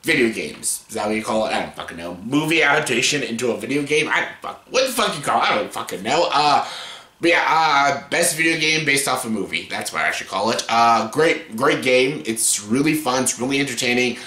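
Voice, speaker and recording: A man in his late twenties with a scratchy yet bright, forceful voice, talking loosely and profanely through a casual countdown. His delivery is rambling and full of fillers. The room around him gives a noticeable echo. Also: mid-range voice, animated, brisk. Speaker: vlogger. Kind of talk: casual top-ten countdown. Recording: roomy echo.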